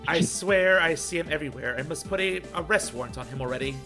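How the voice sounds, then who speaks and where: A man's voice: a little high, fast. A man chatting casually as host of a group game-dubbing stream. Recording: music behind the voice.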